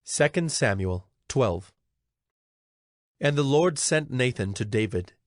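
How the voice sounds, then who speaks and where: A man's voice: medium pitch, animated, slow, no room sound, clean studio sound. A man narrating scripture from a script as part of a dramatized audio production.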